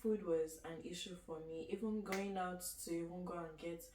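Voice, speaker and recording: A woman's voice: fairly low pitch, normal speed. Young Nigerian woman, chatty and laughing. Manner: laughs often, chatty and animated. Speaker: guest student. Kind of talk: casual chat. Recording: echoey room from far off, faint noise.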